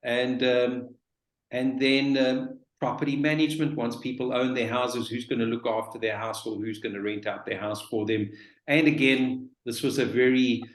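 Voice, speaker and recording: A middle-aged man giving an informal webinar presentation about his business, with a halting, pausing delivery. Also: mid-range voice, fairly even intonation. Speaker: real estate business owner, panelist. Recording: roomy, echoing sound.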